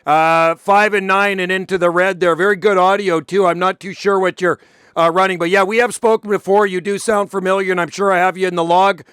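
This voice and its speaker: A man's voice: sits high, steady tone, fairly quick, a bit bright, clean tone, no room sound, studio quality. A man making casual radio contact as an operator, chatty and full of radio jargon.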